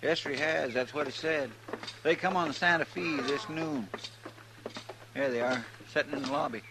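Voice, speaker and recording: Creaky, folksy cowboy sidekick played by a man. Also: on the high side, moderate pace, close to an everyday voice. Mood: friendly, eager. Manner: folksy, respectful, artless. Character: folksy, simple, deferential western sidekick man. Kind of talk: 1950s radio western acting. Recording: noisy old radio recording.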